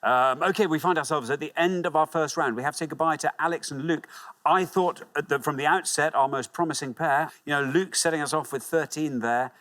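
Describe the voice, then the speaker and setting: A man's voice: a little fast, fairly bright tone. A man hosting a TV quiz show, upbeat and encouraging, reading out questions and scores. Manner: upbeat, encouraging, big swings of pitch. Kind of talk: lively game show hosting. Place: television studio with audience.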